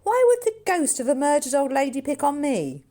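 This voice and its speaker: A bewildered girl in a storybook ghost mystery , voiced by a woman reader. She questions and protests, her voice rising and swinging widely in pitch. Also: very animated, moderate pace, warm tone.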